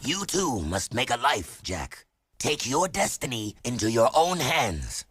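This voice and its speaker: Solemn, expressive desert warlord. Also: male voice, mid-range voice, unhurried, slightly rough, full character voice. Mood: solemn, encouraging. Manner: solemn, formal, philosophical. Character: stern, wise desert warlord, solemn fatherly leader. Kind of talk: video game cutscene acting.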